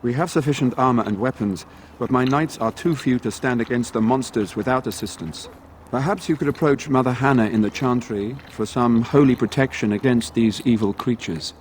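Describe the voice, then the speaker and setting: A solemn knight from a fantasy video game, acted by a man. He is courteous and devoted to duty, with formal, archaic phrasing. The mid-pitched voice sits over faint outdoor ambient noise. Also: a little fast, close to an everyday voice. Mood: formal, earnest. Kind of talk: fantasy game dialogue acting.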